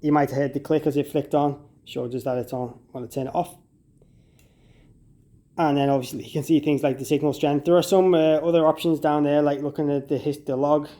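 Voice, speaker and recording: A man with a slightly high voice talks through a product demonstration. He explains things step by step in an informal, conversational tutorial style. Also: fairly even intonation, fairly quick, mellow. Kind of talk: casual tutorial walkthrough.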